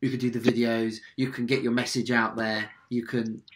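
A man hosts an interview over a video call from a room in his home. His voice sits at an ordinary middle pitch, and he speaks hesitantly, repeating and restarting his words as he works toward a question. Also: little pitch movement, normal speed. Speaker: interview host. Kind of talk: casual interview.

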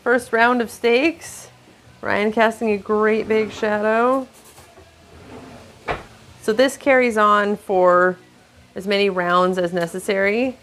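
A woman casually narrating her weekly meal prep in a home kitchen, with relaxed, dry running commentary. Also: mid-range voice, slow, pauses often, slight background noise. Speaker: home cook vlogger.

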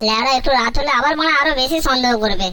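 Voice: sits high, racing, heavy background noise, pitched below the performer's own voice, close to an everyday voice. A performer voicing a boastful, foul-mouthed henpecked drunkard husband in a comic cartoon, full of bluster and whiny excuses, over music. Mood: grumbling, worried. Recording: loud music behind the voice.

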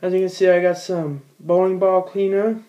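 A man's voice: sits high, level tone, normal speed, warm tone, muffled recording. A teenage boy in a small room at home, giving a casual how-to in a rambling, plain-spoken way with many fillers and restarts. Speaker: bowler demonstrating ball cleaning.

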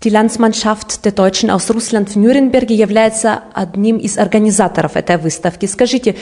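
A woman's voice: medium pitch, brisk, mellow. A woman in her thirties presenting a scripted video news report and interviewing, speaking into a handheld microphone indoors. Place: indoor art gallery.

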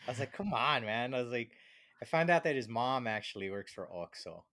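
A man's voice: mid-range voice, animated, a little fast, a bit bright, no room sound. A man in his thirties chatting casually and jokingly on a podcast in informal, slangy speech, close on the microphone. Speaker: podcast co-host. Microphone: close podcast microphone with headphones.

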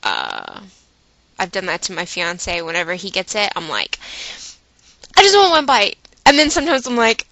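Bright-voiced woman telling a chatty, dramatic story. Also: medium pitch, wildly swooping pitch, normal speed, vocal fry, dry recording. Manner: chatty, dramatic emphasis, acting out her own inner dialogue. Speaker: vlogger. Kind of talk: animated storytelling monologue to viewers.

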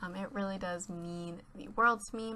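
A woman's voice: fairly low pitch, steady tone, normal speed, bright, forceful tone. A teenage girl chatting over a voice-over, rambling and giggly, stuffed up from a cold. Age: teens. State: congested from a cold. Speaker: YouTuber. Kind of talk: casual voice-over chat. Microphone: home voice-over mic.

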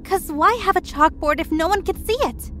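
A woman's voice: extremely high pitch, moderate pace, fairly bright tone. A woman playing a young student who speaks bluntly and sarcastically. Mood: sarcastic. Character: sharp-tongued young woman student, unafraid.

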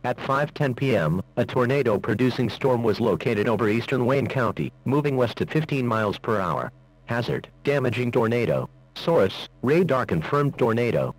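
A man voicing an emergency weather alert in an even, formal read. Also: mid-range voice, normal speed, slightly rough, poor audio. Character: male weather service alert voice. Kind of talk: emergency broadcast reading.

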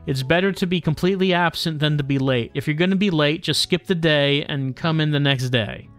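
A man's voice: fairly high pitch, fairly quick, fairly bright tone. A man livestreaming a visual novel, reading the text aloud and adding casual, dry, tongue-in-cheek asides. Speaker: streamer playing a visual novel. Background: game music.

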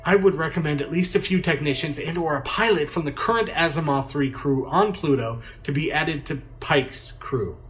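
An actor playing an anxious, deferential adviser to a president in an audio drama, hesitant and cautioning. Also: male voice, fairly high pitch, moderate pace, hardly any pauses, a bit bright, a little hiss or hum, close to an everyday voice. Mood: worried.

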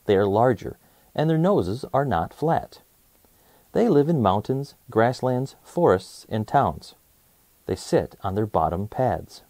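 A man reading a children's nonfiction book aloud as host, in an expressive, clearly enunciated storytelling manner for young listeners. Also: medium pitch, slow, no echo.

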